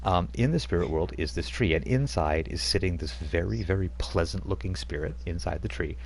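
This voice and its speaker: A man's voice: low voice, a little fast, vocal fry, slight background noise. A man running a tabletop roleplaying game as game master, telling the scene in a rambling, chuckling way with many fillers.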